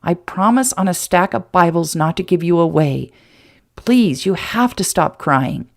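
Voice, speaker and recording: A woman voicing a young male doctor, speaking lower, stern and insistent. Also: animated, measured pace, creaky, exaggerated voice. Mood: pleading.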